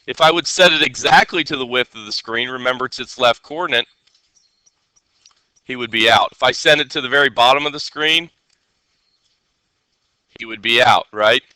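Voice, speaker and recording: A male teacher with a very bright, forceful voice, nasal from a head cold yet crisply clear. He explains coding to a class in an instructional way and keeps checking that students follow. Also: medium pitch, moderate pace, long pauses, no room sound. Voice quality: nasal, congested. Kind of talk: live classroom coding lesson.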